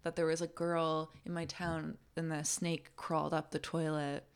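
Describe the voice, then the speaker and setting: Laughing podcast co-host, raspy voice. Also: female voice, low-pitched, normal speed. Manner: laughs often, animated storytelling. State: raspy from karaoke. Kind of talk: casual chat. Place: studio.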